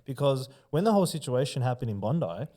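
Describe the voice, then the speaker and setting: Male, dark relaxed timbre, medium pitch, informal animated storytelling, frequent swearing, casual podcast chat. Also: fast.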